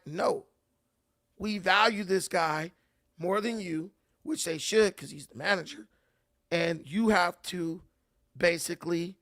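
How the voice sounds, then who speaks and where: A man's voice: high voice, fairly bright tone, dry recording, studio quality. A man hosting casual reaction commentary, ranting and repeating words as he builds his point. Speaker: commentary host.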